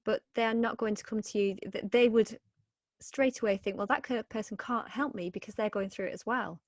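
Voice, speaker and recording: A woman's voice: on the high side, a little fast, dry recording. Woman podcast host, eager and chatty, close dry mic. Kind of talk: casual interview chat.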